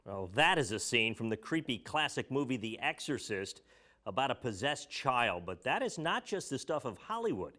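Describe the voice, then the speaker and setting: A man's voice: medium pitch, sing-song, normal speed, sharp tone, dry recording, studio quality. An American man narrating a feature news report with a dramatic storytelling delivery.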